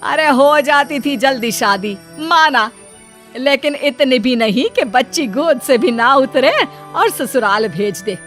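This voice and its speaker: A woman's voice: high voice, animated, takes it slowly, a bit bright, soft background music, full character voice. A woman playing an indignant older mother-in-law who bickers and retorts in exasperation in a cartoon family drama. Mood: exasperated.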